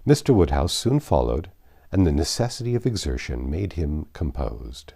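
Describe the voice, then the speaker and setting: A man narrating a classic novel aloud as an expressive audiobook reader. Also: a bass voice, moderate pace, gravelly.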